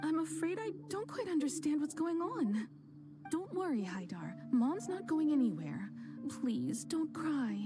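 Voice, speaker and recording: A woman voicing a kindly, soft-spoken young mother who talks politely and reassuringly, over game music. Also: sits high, very animated, unhurried. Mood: gentle. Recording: game audio with music behind.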